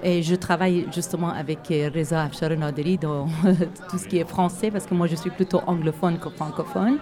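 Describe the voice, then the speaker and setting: A woman's voice: lowish voice, fairly even intonation, brisk. A woman who teaches English and organizes cultural events, explaining her work in a friendly way. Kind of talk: interview answers. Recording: outdoor crowd noise. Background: crowd chatter.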